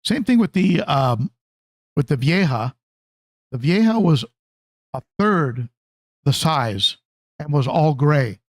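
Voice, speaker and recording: A man hosting a casual hobby livestream, talking conversationally and haltingly, often repeating phrases as he answers viewers. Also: on the high side, expressive, no echo. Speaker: livestream host, aquarium hobbyist.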